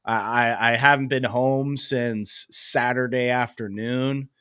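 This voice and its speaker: A man's voice: mid-range voice, fairly even intonation, takes it slowly, bright, forceful tone, clear, resonant tone, no echo, clean studio sound. A young man with a head cold, rambling casually as co-host of a sports podcast, full of fillers and self-deprecating asides.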